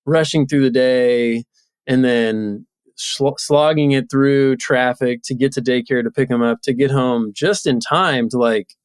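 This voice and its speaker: A man's voice: medium pitch, unhurried, clean tone, no echo. A man in his thirties talking casually and reflectively as a guest in an interview. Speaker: podcast guest, entrepreneur.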